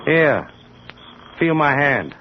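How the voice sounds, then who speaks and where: A debonair, slippery middle-aged rogue, smooth-talking and mocking in old radio drama fashion. His pitch moves freely through a muffled recording with faint noise. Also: sing-song, extremely slow, full character voice. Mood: dismissive, taunting. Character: debonair, slippery middle-aged man, supposedly dead rival. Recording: dull, noisy vintage radio recording.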